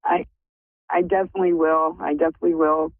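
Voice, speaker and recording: Grateful, emotional woman caller with a gravelly voice, heard over a dry phone line. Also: sits low, flat delivery, fast talker, dry recording. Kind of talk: phone call-in.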